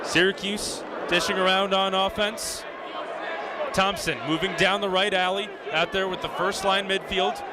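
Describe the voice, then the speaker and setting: Young man, high voice, live radio sports play-by-play, clipped vivid calls, clearly noisy venue behind him. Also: little pitch movement, unhurried, a loud crowd. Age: young adult. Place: live at a lacrosse game. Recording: noisy live stadium broadcast. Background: venue ambience and noise.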